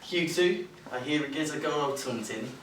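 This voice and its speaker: An actor playing an impatient, mocking studio boss in a stage play, curt, dismissive and sardonic in delivery. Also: male voice, fairly high pitch, moderate pace, a bit bright, natural voice. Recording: echoey stage, distant mic, faint noise.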